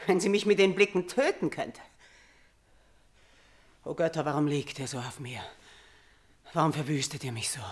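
An actress playing a middle-aged, tormented and menacing queen in a radio tragedy, with imperious, drawn-out theatrical declamation. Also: mid-range voice, close to an everyday voice.

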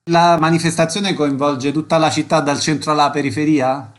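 A man's voice: on the high side, steady tone, normal speed. A man hosting a radio programme, presenting guests and putting questions to them. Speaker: radio host.

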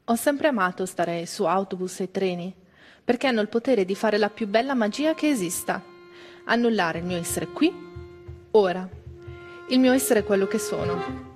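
A woman's voice: medium pitch, lively intonation, moderate pace, a little mellow. A woman performing a literary monologue as a reader in a theatre piece. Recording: voice over instrumental music.

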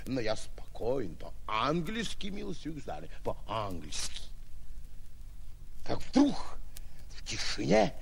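An actor playing a pompous, excitable provincial braggart who fancies himself a great lion hunter, in a 1940s radio play. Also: male voice, medium pitch, takes it slowly, creaky, pauses often, exaggerated voice. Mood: suspenseful bragging. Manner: pompous, bombastic, theatrical storytelling. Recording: noisy, aged 1940s radio recording.

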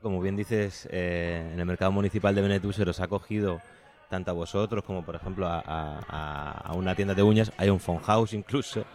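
A man with an accent from Spain co-hosts a radio documentary. His voice sits fairly low as he interviews guests and narrates between stops. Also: fairly even intonation, fairly quick, a little mellow. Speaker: radio co-host.

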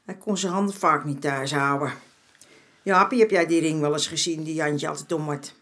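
Low-voiced, streetwise motherly older woman. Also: fairly even intonation, normal speed, a bit bright. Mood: frank, knowing. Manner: familiar, blunt, calls men "jongen". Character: streetwise, motherly older woman of the red-light district, frank. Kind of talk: naturalistic Dutch radio drama acting.

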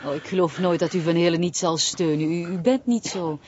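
An actress playing a guarded, composed intelligence agent with a Flemish accent, evasive and slightly condescending. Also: female voice, lowish voice, a little fast, darkish tone, slight background noise, natural voice. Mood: explaining. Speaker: secret service agent. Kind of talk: television crime drama. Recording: dull lo-fi TV audio with faint ambience.

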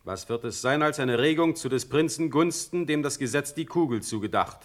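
An actor playing an imperious, composed Prussian ruler in a classical verse radio drama, curt and full of authority. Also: male voice, medium pitch, normal speed, lightly acted. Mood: stern, composed.

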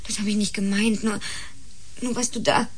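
An actress plays a sensible, uneasy housewife in a radio play. Her voice sits at a medium pitch, and she reasons hesitantly, repeating words, with faint noise and clicks in the recording. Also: flat delivery, normal speed, close to an everyday voice. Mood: anxious. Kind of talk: German radio play acting.

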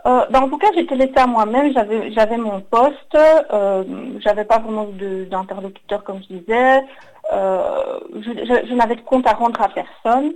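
A woman's voice: on the high side, moderate pace, darkish tone, poor audio. A woman in her thirties from Belgium, speaking as a radio interview guest, telling her story hesitantly with many fillers. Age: 30s. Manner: hesitant, frequent "euh" fillers and restarts. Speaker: guest, work psychologist. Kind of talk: radio interview, personal account.

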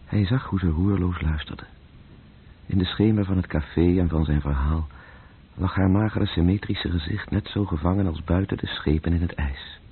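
Grave literary narrator, very deep, very raspy, sombre, measured, old radio play. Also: male voice, full character voice. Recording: muffled, phone-like old radio recording.

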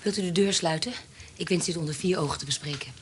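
A haughty, commanding baroness. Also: female voice, on the low side, fast talker, slightly rough. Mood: cool, persuasive. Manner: commanding, formal, cool. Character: bold, haughty young woman posing as a baroness. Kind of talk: radio drama acting. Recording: noisy radio play recording.

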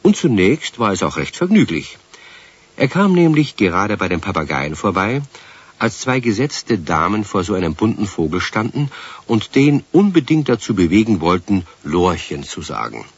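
A man narrating a children's radio play as a calm, expressive storyteller, taking his time. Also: on the low side, moderate pace, breathy edge, no echo, full character voice. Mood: storytelling. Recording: slightly dull vintage radio recording.

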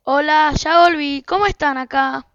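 A woman's voice: high voice, a little slow, fairly bright tone, dry recording. A woman playing an easygoing husband and father in an amateur radio drama. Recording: muffled, noisy lo-fi home recording.

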